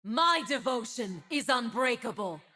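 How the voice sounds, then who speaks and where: Casting: divine female avenger for a video game; high-set voice, very measured pace, stern, zealous, grandiose. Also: lively intonation, bright, forceful tone, exaggerated voice. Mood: fervent, righteous. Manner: solemn, grandiose declarations. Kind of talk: video game character voice lines.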